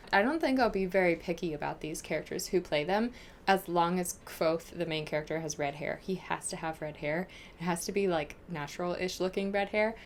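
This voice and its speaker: A young American woman talking casually and informally to viewers as a book vlogger, gushing and animated as she answers questions. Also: lowish voice, a little hiss or hum. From United States.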